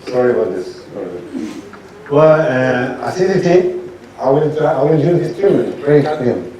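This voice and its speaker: A man lectures as a doctor, often restarting sentences and checking in with the audience. His voice is mellow and dark. He is heard from a distance in an echoey hall. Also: medium pitch, lively intonation, slight background noise. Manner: halting, restarts sentences, asks audience rhetorical questions.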